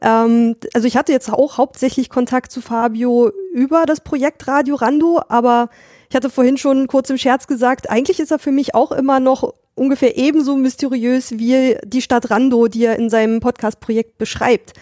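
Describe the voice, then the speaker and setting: Woman podcast host asking stuff, mellow voice, dry sound. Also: high-pitched, fairly even intonation, moderate pace, no room sound. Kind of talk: casual interview.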